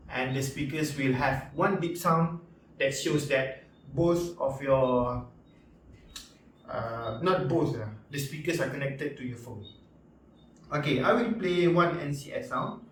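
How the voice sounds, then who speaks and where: A man with a Malaysian accent giving a casual, unscripted product review in an informal, halting and repetitive way with slangy interjections. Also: medium pitch, slight background noise. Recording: echoey room, distant mic, background music.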